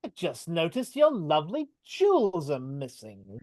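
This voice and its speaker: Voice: mid-range voice, wildly swooping pitch, measured pace, darkish tone, no room sound, clean studio sound. Someone reading a mocking goblin king. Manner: taunting, mocking with laughs. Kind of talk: live dramatic reading over video call. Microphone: video call.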